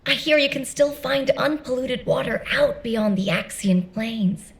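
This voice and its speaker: A woman's voice: sits high, a little slow, gravelly. A woman voicing a chatty, easygoing young female soldier giving a casual briefing in a video game.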